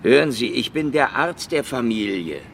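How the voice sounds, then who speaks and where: A man's voice: medium pitch, sing-song, moderate pace, a bit bright, a little hiss or hum. An actor playing a tough, sly Soviet secret policeman with a sardonic, bullying manner in a radio crime drama. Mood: insistent, sly.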